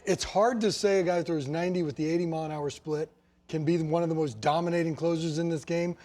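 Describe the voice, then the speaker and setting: A man's voice: on the high side, steady tone, fast, mellow. An American man giving unscripted sports panel analysis in a colloquial, emphatic way, repeating himself for stress. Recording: faint music behind the voice. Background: faint music.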